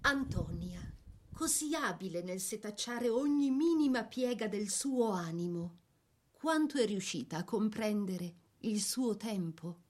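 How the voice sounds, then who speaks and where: A woman narrating a scripted biography for a radio drama in a measured, literary storytelling manner. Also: mid-range voice, animated, darkish tone.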